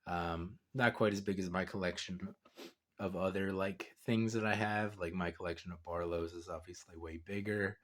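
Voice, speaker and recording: A man with a stuffy nose from a cold, reviewing a folding knife in a relaxed, rambling way with lots of fillers. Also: low-pitched, normal speed. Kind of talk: casual product review.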